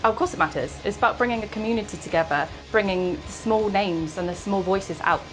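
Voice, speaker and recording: A woman's voice: medium pitch, a little fast, music in the background, poor audio. A woman, a community radio presenter, speaking enthusiastically in an interview. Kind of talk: documentary interview.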